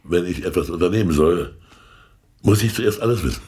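An actor playing a shrewd, blunt state councillor in a radio play, gruff and sardonic. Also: male voice, deep voice, a little fast, darkish tone, close to an everyday voice. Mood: gruff, knowing.

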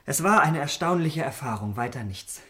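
An actor playing a sensitive, self-absorbed young gentleman in a German radio drama, murmuring and introspective. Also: medium pitch, animated, normal speed, husky, no room sound. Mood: weary, relieved. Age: young adult.

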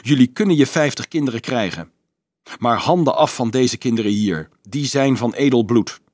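A man's voice: medium pitch, expressive, moderate pace, darkish tone, no echo, clean studio sound, lightly acted. A man voicing a stern, haughty monk who snaps out orders in an audiobook. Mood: urgent, haughty. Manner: snapping, imperative. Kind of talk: audiobook character voice.

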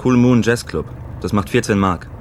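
An obliging cab driver acted by a man in a radio play, heard amid car noise. His voice is low, and he speaks plainly and casually. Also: normal speed, darkish tone, lightly acted. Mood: matter-of-fact. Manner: casual, matter-of-fact. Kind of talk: radio drama acting.

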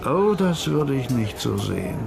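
Cagey snitch in a radio crime play, creaky voice, swings up and down, music behind. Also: male voice, medium pitch, big pitch swings, exaggerated voice. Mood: cagey, haggling. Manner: teasing, drawn-out storytelling. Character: streetwise male police informant, cagey, chatty, haggling. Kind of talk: radio crime drama acting.

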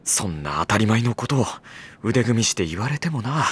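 A man playing a sardonic, long-suffering high-school boy who narrates and argues dryly in an anime audio drama. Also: moderate pace, breathy edge, exaggerated voice. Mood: exasperated objection. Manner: dry, wry, deadpan delivery. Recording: music behind the voice.

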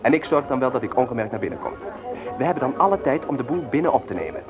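An actor playing a cocksure, bossy young ringleader in a radio play, instructing with firm emphasis. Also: male voice, medium pitch, sing-song, racing, music in the background, lightly acted. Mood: confident, instructing.

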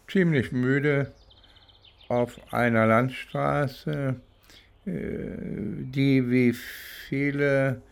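An older man from East Germany recounting his legal experience reflectively, halting and pausing between phrases. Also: medium pitch. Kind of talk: reflective spoken recollection, interview-like.

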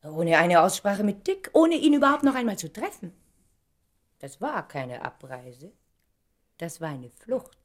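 An actress in a German radio play playing a proud, probing woman who speaks in a deliberate, pointed, dramatic way. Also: mid-range voice, very animated. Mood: troubled, urgent. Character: Valerie, proud woman, probing, articulate, emotionally insistent.

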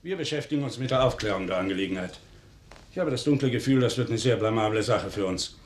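Echoey room, guy doing an irritated sardonic science boss, swoopy pitch, old radio play. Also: lively intonation, fast talker, slight background noise, close to an everyday voice. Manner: sardonic, demanding. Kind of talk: 1950s German radio drama acting. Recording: echoey room, somewhat distant.

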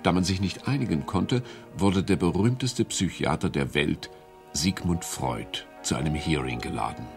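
A man's voice: low-pitched, moderate pace, vocal fry, darkish tone, faint music behind. A man narrating a radio play with dry irony. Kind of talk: scripted narration.

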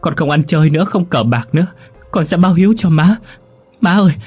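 A young wayward son cries out and begs through his sobs, voice straining high and loud, as a male storyteller acts him out. The voice underneath is husky and low. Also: normal speed, soft background music. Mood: sobbing. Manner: pleading, sobbing cries. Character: young man, wayward son, desperate and grieving.

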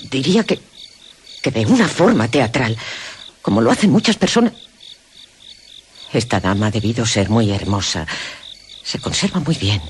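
An actress playing an excitable, sheltered young noblewoman in a Castilian Spanish radio drama, describing things theatrically in halting phrases. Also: low voice, a little hiss or hum. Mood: hushed observing. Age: young adult.

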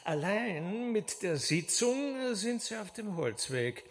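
A man's voice: sits high, big pitch swings, slow pace, soft background music, heavily acted. An actor playing an impudent stage trickster in a radio drama, mock-polite and sly like a showman. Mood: gloating, mocking. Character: impudent mocking male stage conjurer's henchman, mock-servile trickster. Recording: long echo of a theatre hall.

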